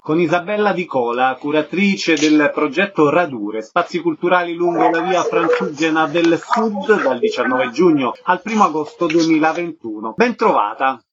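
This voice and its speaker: Male radio interviewer, slightly high pitch, concise questions and announcements, muffled audio. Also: animated, moderate pace, hardly any pauses, over music. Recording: dull, noisy radio interview audio with clicks.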